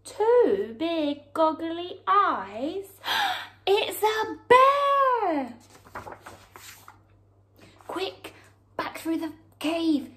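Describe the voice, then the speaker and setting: Very slow, theatrical woman storyteller. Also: very high-pitched. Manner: expressive and theatrical, with drawn-out words, hushed whispers and sound words. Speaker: teacher reading a picture book aloud to young children. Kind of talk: animated children's storytelling. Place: small room at home, indoors.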